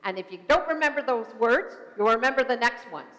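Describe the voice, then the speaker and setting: A woman minister gives a simple, gentle children's talk during a worship service and leads the congregation in call and response. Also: on the high side, expressive, a little fast. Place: church sanctuary. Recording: echoey church sanctuary.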